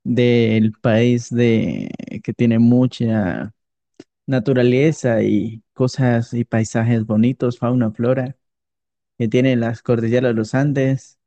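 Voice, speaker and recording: A man's voice: mid-range voice, steady tone, slow pace, dark tone, lo-fi sound. A man with a Colombian accent chatting casually and jokily as a streamer being interviewed. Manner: jokey. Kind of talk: casual improvised chat.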